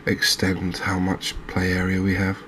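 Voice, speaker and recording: English guy doing soft asmr game commentary, low flat voice, slow and hesitant, bit of background noise. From England. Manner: hushed, unhurried, hesitant. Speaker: gaming video host.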